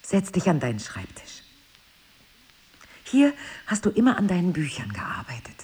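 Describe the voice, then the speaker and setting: A woman's voice: lowish voice, huge pitch swings, normal speed, mellow, husky, heavily acted. An actress playing a patient but insistent wife who dryly teases her amnesiac husband, in a German radio play. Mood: dryly teasing.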